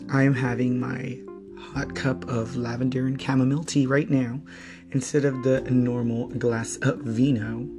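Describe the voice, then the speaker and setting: A man hosting a reflective spiritual podcast, his voice hoarse and weakened, talking in a slow, wandering way. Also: mid-range voice. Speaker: podcast host. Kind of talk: reflective solo podcast talk.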